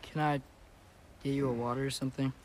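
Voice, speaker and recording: A man's voice: mid-range voice, little pitch movement, fairly quick, slight background noise, lightly acted. A young man playing a teenage boy warrior in a video game, casual, polite and a little cheeky. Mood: awkward, cheeky. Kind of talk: naturalistic video game acting.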